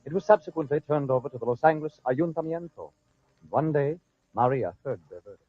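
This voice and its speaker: Male radio narrator, slightly high, formal storytelling, dry and muffled vintage recording. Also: animated, fairly quick, no echo. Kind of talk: scripted old-time radio narration.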